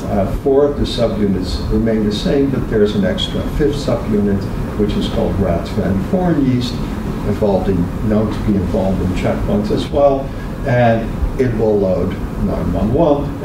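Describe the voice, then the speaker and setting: A male professor explaining research in a lecture-like way, with halting, hesitant delivery. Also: lowish voice. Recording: clearly noisy room ambience.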